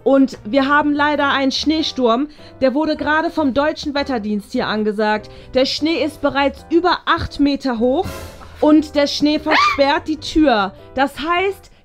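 Woman as apologetic school official on announcements, high-pitched, formal, hesitant delivery. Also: lightly acted. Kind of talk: school announcement in a comedy skit.

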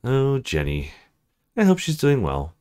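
A man voicing a brooding young male visual novel protagonist in a low, musing way. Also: wildly swooping pitch, a little slow, mellow, clear, resonant tone.

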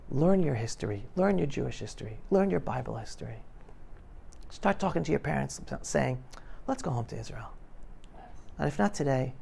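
A middle-aged man giving an impassioned, animated motivational talk to young people, with emphatic delivery. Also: mid-range voice, vocal fry, a little hiss or hum. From New York City, United States. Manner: emphatic, animated, swinging between loud and hushed. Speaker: guest speaker addressing high school students. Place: classroom, indoors. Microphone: lapel mic.

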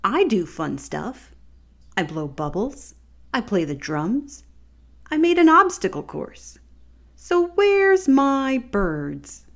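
A woman voicing an excitable, stubborn young boy capybara in a storybook, whiny and emphatic. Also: fairly high pitch, slow, creaky, voice pushed up, full character voice. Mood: puzzled, indignant.

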